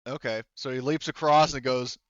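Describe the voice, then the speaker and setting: A man narrating as game master in a casual tabletop roleplaying session, thinking aloud haltingly and swearing mildly. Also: medium pitch, steady tone, dry recording, dull audio.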